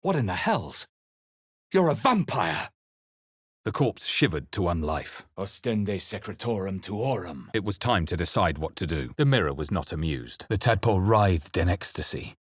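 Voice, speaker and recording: A brooding fantasy hero for a video game, with a very low, husky voice. The delivery is solemn, measured and theatrical, yet it moves widely in pitch. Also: male voice, sing-song, no room sound, studio quality, full character voice. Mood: alert, then forceful incanting. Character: male fantasy adventurer hero, brooding and grave. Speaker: video game player character. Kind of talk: dramatic fantasy game narration, barks and spell incantations.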